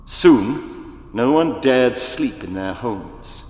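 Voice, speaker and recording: Slow, echoing male storyteller. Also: medium pitch, lively intonation. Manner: theatrical, deliberate, with big swells and hushes. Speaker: audiobook narrator. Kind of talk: dramatic scripted storytelling for children. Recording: echoey, long reverb, music behind.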